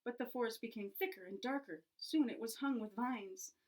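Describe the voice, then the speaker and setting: A woman reading a picture book aloud to children in an expressive, teacherly storytelling way, engaging her young listeners. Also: fairly high pitch. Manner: animated storyteller, pauses to ask young listeners questions.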